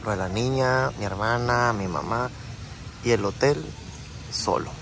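A man's voice: mid-range voice, measured pace. A young man chatting casually to his viewers as he films himself at a beach resort. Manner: chatty, relaxed, addresses viewers as friends. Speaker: travel vlogger. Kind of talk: casual travel vlog narration. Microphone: phone camera at arm's length. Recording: wind and sea noise outdoors.

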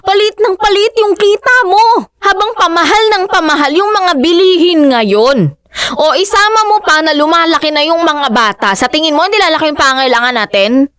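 A woman's voice: very high-pitched, lively intonation, moderate pace, fairly bright tone, no room sound, clean studio sound. An actress playing a practical, determined fisherman's wife and mother of four, who argues her point insistently in a radio drama.

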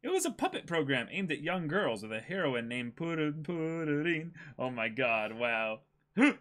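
A young man co-hosts in a casual style. His voice is bright and a little high, with very lively swings in pitch as he chats and reads aloud. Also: moderate pace. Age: young adult. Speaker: co-host. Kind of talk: casual chat and reading aloud.

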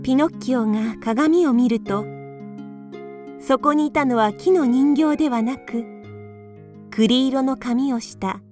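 High-voiced woman narrating a story. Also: measured pace, long pauses, darkish tone, faint music behind. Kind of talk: scripted storybook narration for radio drama.

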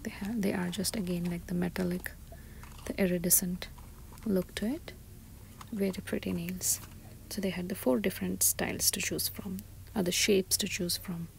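A woman shopping vlogger giving soft, breathy, often half-whispered ASMR-style commentary as she describes items one by one inside a store. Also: lowish voice, steady tone, normal speed, poor audio. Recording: store ambience noise behind soft voice.

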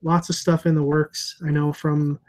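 A man's voice: a little high, moderate pace. Chatty bearded man on a video call. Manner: relaxed, chatty, many fillers. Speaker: comic shop owner and host. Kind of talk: casual video call chat. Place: room with comic books on shelves. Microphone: earphone headset on video call.

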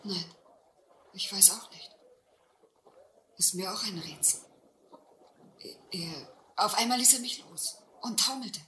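An actress playing an anxious young woman in a sea adventure radio play, hesitant and hushed. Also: mid-range voice, lively intonation, takes it slowly, pauses often. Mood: anxious, moved. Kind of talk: German radio play acting. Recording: roomy, faintly noisy old radio play.

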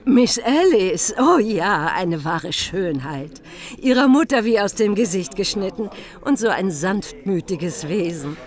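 A woman's voice: medium pitch, expressive, a little slow, lightly acted. Woman playing a gossipy village innkeeper. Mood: fond. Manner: chatty, colloquial, confiding. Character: gossipy, plain-spoken female village innkeeper. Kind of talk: radio drama acting.

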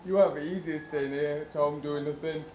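A man's voice: a little high, fairly even intonation, normal speed, warm tone, natural voice. A young British man playing a tired, irritable young father in an amateur radio play, read aloud. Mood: angry, defensive. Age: young adult. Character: irritable, tired young father who feels put upon. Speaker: amateur performer in a young persons' group. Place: large conference room before an audience. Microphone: handheld or stand microphone. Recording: echoey, slightly noisy hall.

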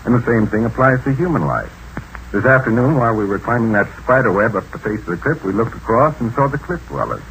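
An actor in 1940s radio acting style playing a calm, inquisitive adventurer. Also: male voice, medium pitch, fast talker, low-quality recording. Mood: inquiring. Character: adventurer Jack, steady and probing. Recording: old radio broadcast with faint hiss.

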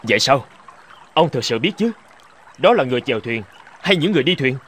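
A man's voice: medium pitch, big pitch swings, fairly quick, a bit bright, a little hiss or hum. An actor playing a self-righteous, argumentative young male scholar in a cartoon. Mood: agitated. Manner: argumentative, boastful, exclamatory. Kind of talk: Vietnamese cartoon dubbing.

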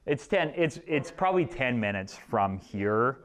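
A man's voice: medium pitch, big pitch swings, a little slow. A man in his thirties leading a chiropractic workshop in a small clinic room, talking loosely to a seated audience with many fillers and restarts. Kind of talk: informal presentation with audience questions. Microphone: room camera at a distance.

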